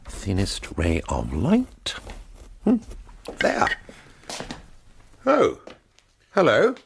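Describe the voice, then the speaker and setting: Wry artist, wildly varied intonation. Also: male voice, on the low side, huge pitch swings, extremely slow, creaky, a little hiss or hum, full character voice. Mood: absorbed. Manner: hesitant, educated, wry. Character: diffident, cash-strapped male artist, wry and somewhat prim. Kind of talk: radio drama dialogue and first-person narration.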